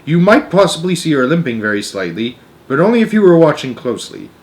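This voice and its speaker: A man's voice: on the high side, sing-song, quick pace, voice pushed up. A man voicing a grave, knowing old grandmother in a storybook, explaining grim lore emphatically and matter-of-factly. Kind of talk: storybook read-aloud character.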